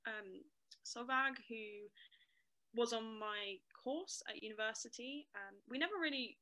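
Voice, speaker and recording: A young woman, a storyteller and museum guide chatting lively and fluently in an interview, with frequent ums. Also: fairly high pitch, a little slow, rough recording. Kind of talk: casual interview chat.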